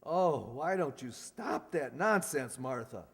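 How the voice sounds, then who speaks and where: A man's voice: a little high, very animated, slow, creaky, slightly rough, exaggerated voice. A man acting in a radio sitcom as a grumpy, officious middle-aged school principal, blustering and irritable. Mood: irritated. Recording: echoey hall, long reverb.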